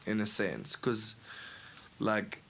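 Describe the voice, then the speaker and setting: Young afrikaans guy, low and gravelly, rambles with tons of "like"s, casual podcast chat. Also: slow, a bit bright. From South Africa. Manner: rambling, many fillers and restarts. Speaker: musician guest.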